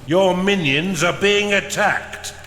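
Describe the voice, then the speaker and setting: A man voicing a theatrical advisor to an evil overlord in a fantasy game, announcing formally and deliberately. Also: sits high. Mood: commanding. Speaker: game narrator. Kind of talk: scripted fantasy game narration. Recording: roomy, long reverb, faint noise.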